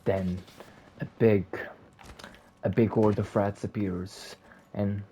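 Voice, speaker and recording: Very low-voiced woman, unhurried storyteller. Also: fairly even intonation, mellow, a little hiss or hum. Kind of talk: improvised comic storytelling among friends.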